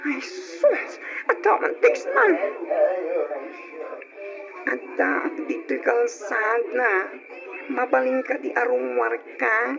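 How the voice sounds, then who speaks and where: A woman's voice: fairly high pitch, expressive, takes it slowly, gravelly, long pauses, over music, full character voice. A woman playing a dramatic, heartbroken young woman in an old radio drama, with an Ilocano accent and a whiny delivery. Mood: fretful. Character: dramatic heartbroken young woman, stubborn about quitting school. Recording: dull old radio recording.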